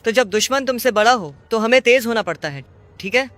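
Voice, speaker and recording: A man's voice: very high-pitched, animated, fairly quick, a bit bright, no echo. A man playing an upbeat, protective young superhero in a video game, coaching and reassuring as he speaks. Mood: encouraging. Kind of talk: video game voice acting, Hindi dub.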